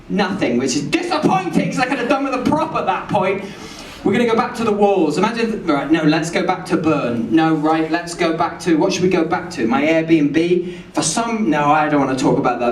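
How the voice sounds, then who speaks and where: A man improvising a spoken-word poem in a small venue, rambling and playful with sudden shouts. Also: sits high, slight background noise. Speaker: poet. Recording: echoey room from far off.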